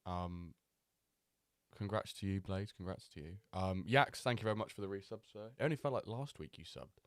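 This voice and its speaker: A man hosting a live stream, chatting loosely with many pauses and fillers, still a little nasal from a cold. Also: low voice, a bit bright, no echo, clean studio sound. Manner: chatty, rambling, with many ums and restarts. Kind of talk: casual live-stream chat.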